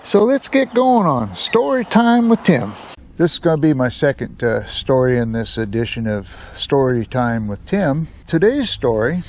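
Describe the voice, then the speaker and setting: A man's voice: medium pitch, very animated, unhurried, a little hiss or hum. An American man from the Seattle area telling personal stories casually as a channel host, in a folksy, colloquial, rambling way. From United States, Seattle area. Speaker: storyteller, YouTube channel host.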